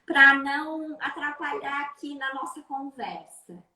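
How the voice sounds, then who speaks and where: A Brazilian woman with a fairly high voice hosts a live stream. She is affectionate and greets her viewers one by one by name. Her voice sounds roomy, with an audible echo around it. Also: lively intonation, measured pace, pushed and bright. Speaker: lawyer hosting a live stream.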